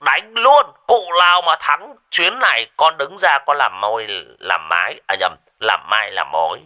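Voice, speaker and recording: A man's voice: medium pitch, animated, pushed and bright, voice pushed up. A man voicing a cheeky young villager who teases in a hurry. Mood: teasing. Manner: teasing, hurried.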